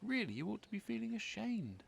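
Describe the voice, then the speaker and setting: A woman's voice: mid-range voice, big pitch swings, brisk, creaky, breathy edge, pitched above the performer's own voice, exaggerated voice. A man voicing a sweet, sensible female scarecrow bride in a storybook, earnest and easily indignant, with faint noise behind. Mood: firm, indignant.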